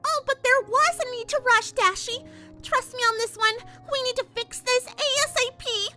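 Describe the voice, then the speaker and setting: A woman voicing an excitable, insistent young cartoon pony mare in a fan fiction reading, with emphatic delivery. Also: extremely high pitch, moderate pace, faint music behind. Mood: urgent.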